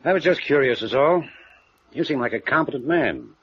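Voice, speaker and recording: A man's voice: medium pitch, lively intonation, a little fast, breathy edge. A man playing a guarded, well-spoken wealthy father in a 1950s radio noir drama, speaking formally and evasively. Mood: smooth, probing. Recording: muffled vintage radio drama recording.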